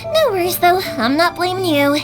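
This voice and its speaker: A woman's voice: extremely high pitch, very animated, moderate pace. A woman playing a cheerful, big-sisterly young fireworks maker, casual and encouraging, in an anime game style. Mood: friendly, candid. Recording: music behind the voice.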